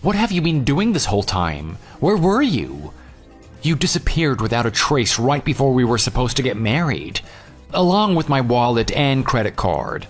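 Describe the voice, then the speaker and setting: A man's voice: mid-range voice, big pitch swings, normal speed, faint music behind, lightly acted. A man playing a jilted but forgiving ex-fiance in a radio drama, earnest and questioning. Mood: confused, questioning.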